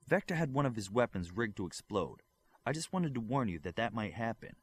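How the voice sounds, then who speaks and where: A man's voice: fairly low pitch, very animated, a little fast, husky. A man voicing an earnest, blunt young superhero in an audiobook. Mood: urgent.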